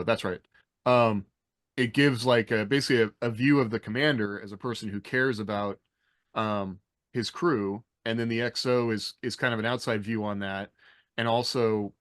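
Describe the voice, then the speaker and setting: A man's voice: lowish voice, fairly bright tone, no room sound, studio quality. A man talking casually on a podcast panel, thoughtful and tentative as he weighs things up.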